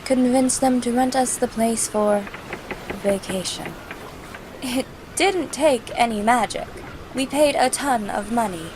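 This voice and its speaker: Woman as a high-voiced, serious future superhero. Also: a little slow, close to an everyday voice. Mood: explaining. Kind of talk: comic dub acting. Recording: noisy home recording.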